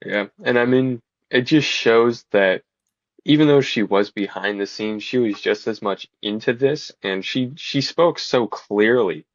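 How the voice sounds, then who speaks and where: A man's voice: mid-range voice, a little slow, no echo. Hesitant young man, slightly dull dry sound. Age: young adult. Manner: hesitant, stumbling over words. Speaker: talk show panelist. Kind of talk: casual discussion.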